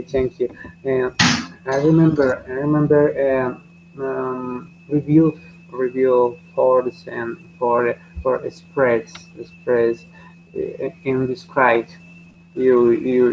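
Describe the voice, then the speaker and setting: A man teaching a beginner English class. He speaks haltingly and repeats each question several times. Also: mid-range voice, creaky. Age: 20s. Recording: dull lo-fi audio with faint noise.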